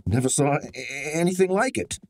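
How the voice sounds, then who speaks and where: A man's voice: fairly high pitch, lively intonation, a little fast, noisy background, natural voice. Man acting a flustered, swooping radio crook. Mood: awed, flustered. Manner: stammering, nervous, slangy. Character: shifty, flustered small-time forger, evasive streetwise man. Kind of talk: old-time radio drama acting.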